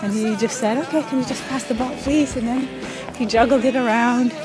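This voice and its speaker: A woman in her thirties from Ethiopia, telling stories in a casual interview with thoughtful pauses and soft laughs. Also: fairly high pitch, fairly even intonation, music in the background, poor audio. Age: 30s. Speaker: interviewee, pickup soccer player.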